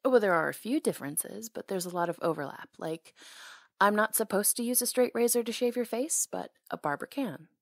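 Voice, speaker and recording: A woman's voice: medium pitch, lively intonation, a little fast, creaky, dry recording, clean studio sound. A woman acting in an intimate ASMR roleplay as a hair stylist, talking casually in a caring, teasing way.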